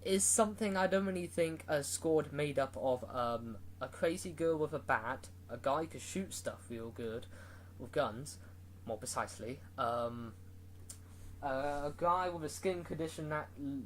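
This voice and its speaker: A man's voice: fairly high pitch, a little slow. Man, casual movie review, rambling, lots of ums and likes, faint background noise. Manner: rambling, filler-heavy, restarts often.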